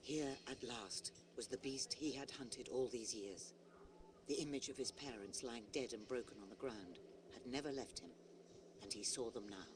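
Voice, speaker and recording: An actor playing a storybook narrator in a video game, telling a heroic fable in a measured, dramatic way. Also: male voice, medium pitch, background noise, natural voice. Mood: grave, dramatic.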